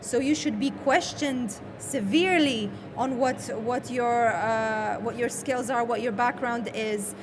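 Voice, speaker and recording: A woman's voice: a little high, a little slow, hardly any pauses. A woman speaking as a panel moderator, emphatic and often repeating words for weight. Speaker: session host and moderator. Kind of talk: panel discussion remarks. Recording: noisy live event room.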